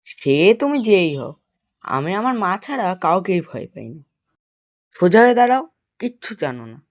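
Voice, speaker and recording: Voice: medium pitch, very animated, moderate pace, no room sound, clean studio sound. A performer voicing a cheeky, fearless young schoolboy in a cartoon, exclamatory and plaintive in manner. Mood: defiant. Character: cheeky fearless schoolboy, reluctant pupil, little brother. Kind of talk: Bengali cartoon voice acting.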